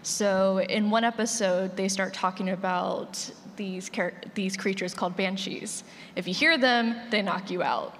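A woman's voice: medium pitch, fairly even intonation, unhurried, fairly bright tone. A woman with a middle-register voice explains with examples in informal panel talk. She sounds like she is in a big reverberant room with faint noise. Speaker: panelist, audio drama creator. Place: large panel room at a convention. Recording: echoey hall, noisy, roomy pickup.

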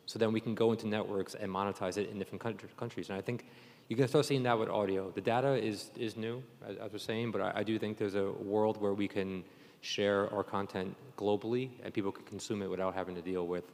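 Low-voiced man in a reverberant hall. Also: steady tone, fast, a little mellow. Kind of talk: panel discussion, off the cuff. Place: conference hall, live panel. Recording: echoey hall with long reverb.

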